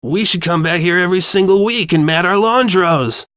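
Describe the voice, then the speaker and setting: A man's voice: high-pitched, normal speed, a bit bright, clear, resonant tone, no echo, studio quality, voice pushed up. Man playing an enthusiastic popular teen boy. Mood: rousing.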